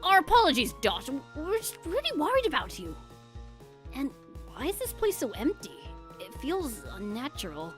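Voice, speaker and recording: A woman's voice: high voice, huge pitch swings, normal speed, soft background music, pitched above the performer's own voice. A woman voicing a worried, kindly male penguin inventor and director in a game story, hesitant and apologetic. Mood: pleading, worried.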